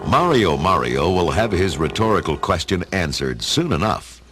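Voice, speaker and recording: A man giving dramatic, measured scripted narration for an audio story, in a theatrical storytelling manner. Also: low-pitched, very animated. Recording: music under the narration. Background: music.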